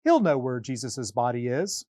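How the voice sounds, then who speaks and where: A man's voice: mid-range voice, sing-song, normal speed, dark tone, no room sound, studio quality, pitched below the performer's own voice, natural voice. A man reading the part of a devoted, distraught woman in a children's storybook, with an urgent, earnest manner. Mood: hopeful. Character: distraught, devoted woman searching for her lost friend.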